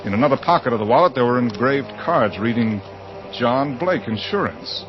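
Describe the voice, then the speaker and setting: A man's voice: medium pitch, lively intonation, moderate pace, natural voice. Guy playing anxious confused young american writer telling his story, old radio drama, muffled, music behind. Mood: brooding, uneasy. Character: bewildered, anxious amnesiac young male mystery writer. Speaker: lead actor and narrator. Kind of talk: old-time radio drama acting, first-person suspense narration.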